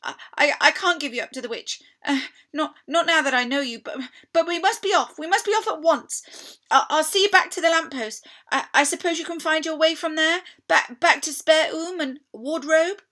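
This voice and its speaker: A woman's voice: sits high, normal speed, sharp tone, pitched above the performer's own voice. A woman voicing an anxious, guilt-ridden, tearful male fawn in a storybook, with a stammering, dramatic delivery. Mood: flustered, hurried.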